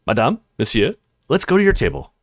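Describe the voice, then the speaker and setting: Man acting a courtly restaurant host, grandiloquent, flattering, swooping pitch, game character, dry sound. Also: brisk, no echo. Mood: courteous, commanding. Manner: florid, theatrical, courtly.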